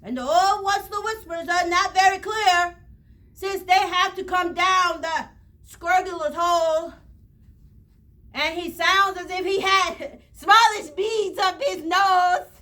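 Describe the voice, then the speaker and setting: A woman reading a rhyming children's story aloud in an expressive, theatrical way with drawn-out phrasing. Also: very high-pitched. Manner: theatrical, drawn-out phrasing, pausing to show pictures to listeners. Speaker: storybook reader at a library read-aloud.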